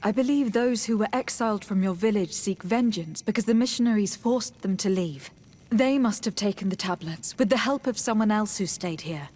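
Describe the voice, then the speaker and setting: A woman playing a calm, diplomatic young noblewoman envoy in a video game, speaking in a measured, formal and firm way. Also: medium pitch, natural voice. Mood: composed. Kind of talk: video game dialogue acting.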